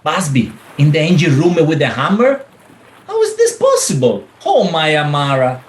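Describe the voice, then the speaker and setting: A man's voice: on the high side, huge pitch swings, normal speed. A man playing a theatrical Italian husband and ladies' man in a radio play, effusive, with an Italian accent and broken English. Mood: anguished, wailing. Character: melodramatic Italian widower and smooth ladies' man. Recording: faint background noise.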